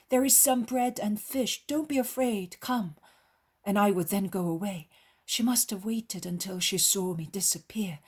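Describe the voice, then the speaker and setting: A woman's voice: medium pitch, darkish tone, husky. A woman voicing the apostle Andrew, a humble fisherman disciple, telling his story earnestly and reverently. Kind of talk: devotional reading.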